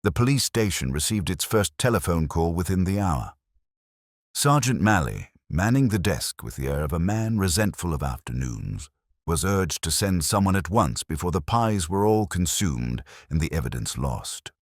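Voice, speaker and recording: Very deep-voiced male storyteller. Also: big pitch swings, normal speed, no echo, studio quality. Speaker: narrator. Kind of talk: scripted literary mystery narration.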